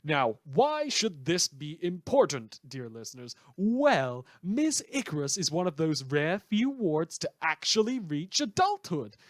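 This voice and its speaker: A man's voice: fairly high pitch, sing-song, unhurried, hardly any pauses, no room sound, studio quality. A man playing an opinionated, wry radio news host, delivering a chatty, theatrical monologue with sardonic asides in an audio drama. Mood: wry, musing. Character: opinionated, indignant, wry male small-station radio presenter.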